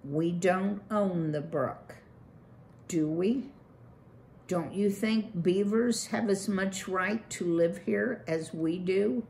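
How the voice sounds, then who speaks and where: A woman's voice: fairly low pitch, slow pace, vocal fry, darkish tone, slight background noise. A woman reading a storybook, voicing a timid, polite old male rodent who hesitates and stammers. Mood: gentle.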